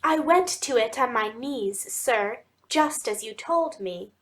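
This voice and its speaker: A woman's voice: fairly high pitch, lively intonation, slow. A woman playing a dutiful landlady. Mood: deferential. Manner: earnest, deferential. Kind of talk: audiobook dramatic reading.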